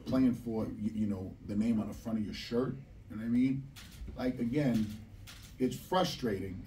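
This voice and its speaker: Blunt, emphatic coach at a press conference. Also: male voice, lowish voice, warm tone. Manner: blunt, emphatic, repeats phrases for stress. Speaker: head basketball coach. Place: press room at a table. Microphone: table microphone, recorded from a distant camera. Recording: distant, slightly noisy press room.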